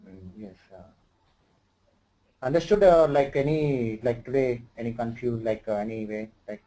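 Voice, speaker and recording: A man with an Indian English accent explains things one-on-one as an instructor. His voice is mellow and soft-edged, and he speaks haltingly with lots of fillers and loose grammar. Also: mid-range voice. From Andhra Pradesh, India. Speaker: SAP HR instructor. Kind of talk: informal one-on-one tutoring.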